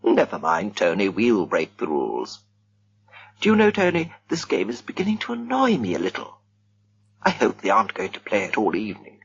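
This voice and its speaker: A man voicing a nervous, genteel young woman at a party, talking softly and anxiously. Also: fairly low pitch, huge pitch swings, moderate pace, creaky, slightly rough, highs cut off, exaggerated voice. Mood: uneasy.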